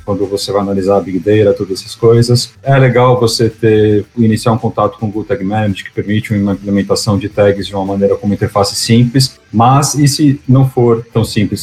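Relaxed man explaining technical topics. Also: lowish voice, a little hiss or hum. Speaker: podcast guest, digital specialist. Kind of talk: casual podcast chat.

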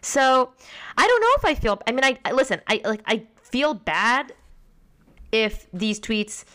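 Smooth-voiced woman chatting casually. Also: on the high side, sing-song, unhurried, fairly bright tone. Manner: chatty, reads tweets aloud with laughs. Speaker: podcast co-host. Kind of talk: casual chat reviewing a reality show.

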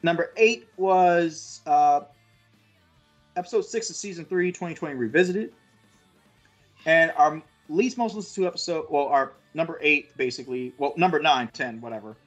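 Husky-voiced man hosting a podcast. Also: a little high, moderate pace, soft background music. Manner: rambling, crude joking, reads out stats with fillers. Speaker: podcast host. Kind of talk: casual podcast chat.

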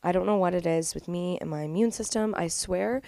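Nasal, congested woman with a gravelly voice. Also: on the low side, a little fast, dark tone, dry recording. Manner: chatty and upbeat, peppered with "like". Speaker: podcast host. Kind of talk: casual solo podcast chat.

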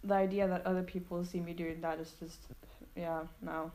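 Halting, reflective young woman, lowish voice. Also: little pitch movement, warm tone. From Sweden. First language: Swedish. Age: teens. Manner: reflective, halting, self-doubting. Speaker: student podcast co-host. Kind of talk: casual chat.

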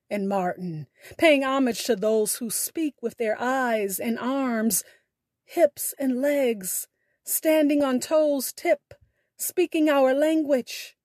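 A woman podcast host giving a scripted, poetic address in a deliberate, measured delivery. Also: sits high, a little mellow, no room sound, studio quality. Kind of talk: scripted podcast sign-off and poetry recitation.

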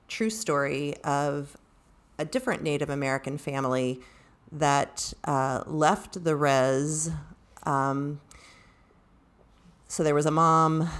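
A woman with a strikingly low voice that often drops into creaky fry. She talks through her thoughts in a measured, halting way, as a participant in an unscripted book discussion.

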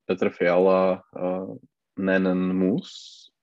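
A man with a Czech accent speaks very slowly, pausing and hunting for each word. His deep, mellow voice is dry and close. The call audio sounds dull and lo-fi. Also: monotone, dry recording. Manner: hesitant, searching for words with many fillers. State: has a cold. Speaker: German learner. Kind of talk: halting online language lesson.

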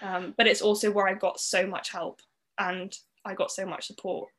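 A woman's voice: medium pitch, little pitch movement, normal speed, a bit bright. Chatty young woman, Southern English accent. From Netherlands. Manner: chatty, frequent "like" fillers. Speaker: guest. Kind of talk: podcast interview, personal story.

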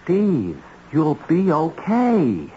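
An actor playing an evasive, bullying counterfeiter in a vintage radio drama, with folksy, blustering speech. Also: male voice, on the high side, huge pitch swings, very slow, exaggerated voice. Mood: exasperated. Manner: folksy, blustering, stammering under pressure. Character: evasive, bullying small-time male counterfeiter. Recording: dull, hissy old radio recording.

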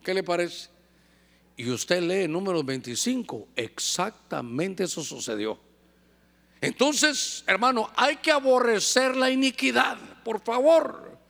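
High-voiced male preacher, lively and joking, acts out little scenes. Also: slow pace, vocal fry. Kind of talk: sermon.

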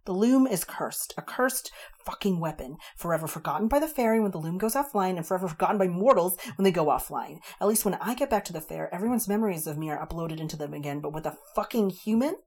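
Woman doing a ranting fairy warrior girl, furious, cursing, husky low voice pushed high and loud, storybook reading. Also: steady tone, moderate pace.

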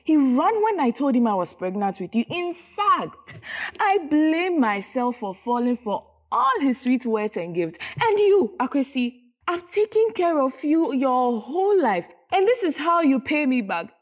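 A woman's voice: high-pitched, very animated, unhurried. A woman with a Ghanaian accent playing a hurt, reproachful single mother in an audio drama, speaking dramatically and emphatically. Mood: bitter, hurt. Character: hurt, scolding single mother of a teenage son.

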